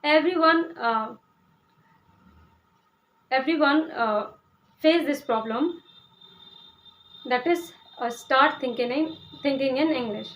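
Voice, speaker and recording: A woman's voice: high-pitched, slow pace, long pauses, highs cut off. A young Indian woman from Uttar Pradesh, a Hindi speaker, explaining English learning with many fillers and restarts. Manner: hesitant, many "uh" fillers and restarts, asks "okay?". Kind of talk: casual explanatory talk to camera.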